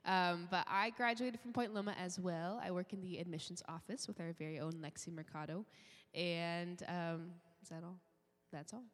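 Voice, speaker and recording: Young woman, casual and a bit unsure, lower voice, big reverberant church hall. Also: moderate pace. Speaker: university admissions worker. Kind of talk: brief self-introduction. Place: church sanctuary.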